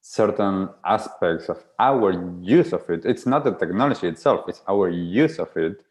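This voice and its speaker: Deep-voiced Argentine-born host, reflective. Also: male voice, lively intonation, normal speed. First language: Spanish. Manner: reflective, many fillers like "like" and restarts. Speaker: podcast host. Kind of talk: conversational podcast interview.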